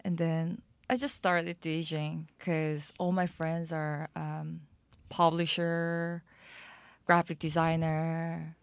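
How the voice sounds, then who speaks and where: A young woman with a Korean accent, a guest in an interview, speaking halting English with many fillers. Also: low voice, level tone, gravelly, clean tone, no echo. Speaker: guest musician and DJ. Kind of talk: casual interview.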